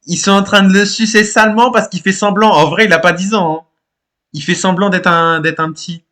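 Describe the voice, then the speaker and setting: A man's voice: high voice, steady tone, brisk. A man casually commenting to his viewers as a game troll, with crude, slangy talk. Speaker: streamer trolling on a game server. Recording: noisy gaming voice-chat recording.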